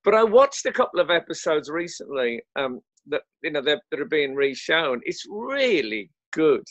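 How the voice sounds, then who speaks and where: A man's voice: fairly high pitch, big pitch swings, normal speed, a bit bright, no echo, lo-fi sound. A man, an actor chatting in a casual interview in a wry, hesitant way. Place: home, remote lockdown recording.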